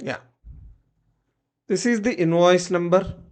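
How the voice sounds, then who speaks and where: A tutorial presenter narrating step-by-step software instructions in short, clipped commands. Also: mid-range voice, takes it slowly.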